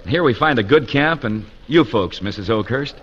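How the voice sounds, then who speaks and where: Man as an eager, lively young country fellow. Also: medium pitch, normal speed, slight background noise, lightly acted. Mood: friendly, proud. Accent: American. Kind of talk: old radio western acting. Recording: dull old radio recording.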